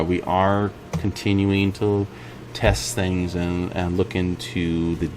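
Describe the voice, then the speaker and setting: A man giving an informal update to viewers as an indie game developer. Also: deep voice. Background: music behind the voice.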